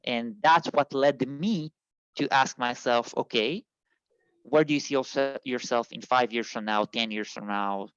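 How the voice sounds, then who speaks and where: A man from Israel, an immigration consultant, answering interview questions in a halting way with many fillers and restarts. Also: mid-range voice, fairly bright tone, clear, resonant tone, dry recording, muffled recording.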